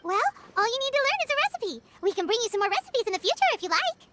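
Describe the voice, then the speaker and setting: A woman voicing a tiny, childlike, excitable fairy companion in a video game, chirpy and given to exclaiming. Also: very high-pitched, fast talker, background noise. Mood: excited. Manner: speaks of herself in third person, exclaiming.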